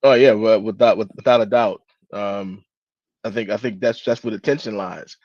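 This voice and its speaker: A man giving his thoughts as a book club panellist, reflective and halting, often restarting his words. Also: on the low side, steady tone, a little mellow, no room sound. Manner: halting, repeats word beginnings. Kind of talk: reflective literary discussion. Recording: dull, muffled lo-fi audio.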